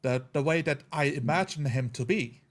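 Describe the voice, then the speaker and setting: Slightly high-voiced man, casual gamer chat. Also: normal speed. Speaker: tabletop roleplayer on a gaming show.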